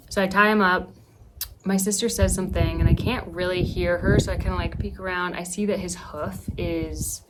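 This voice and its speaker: Slow-paced, lower-pitched woman telling a story. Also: fairly bright tone, slight background noise. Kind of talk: casual personal storytelling.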